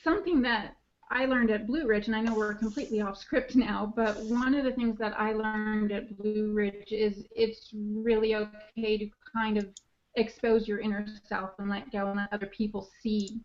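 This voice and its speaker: A woman co-hosting a podcast, chatting casually, laughing often and restarting her sentences. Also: medium pitch. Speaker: podcast co-host. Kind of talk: casual chat. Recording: dull lo-fi online call audio.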